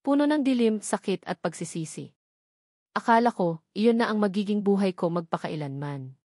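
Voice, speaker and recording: A woman voicing a devoted grown man proposing tenderly, read in an even, measured way for an audio drama. Her voice sits at a middling pitch. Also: no echo, studio quality, lightly acted.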